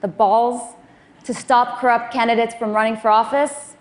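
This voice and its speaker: A woman in her thirties, born in Afghanistan, giving a prepared public talk in a measured, earnest way. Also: on the high side, steady tone. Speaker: speaker.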